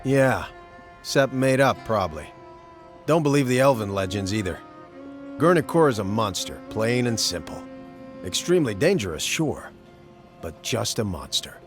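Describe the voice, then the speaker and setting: Low-voiced, blunt monster hunter. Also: male voice, big pitch swings, moderate pace, long pauses. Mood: matter-of-fact. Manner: laconic, matter-of-fact, clipped colloquial phrasing. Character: world-weary, blunt male monster-hunting mercenary. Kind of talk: fantasy game dialogue. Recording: background music under the dialogue.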